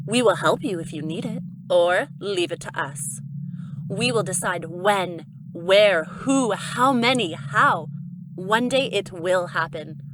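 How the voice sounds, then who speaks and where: A woman's voice: medium pitch, close to an everyday voice. Woman acting a cold, commanding alien over noisy ambience. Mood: cold, ominous. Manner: formal, deliberate, ominous. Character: cold, commanding alien being speaking by telepathy.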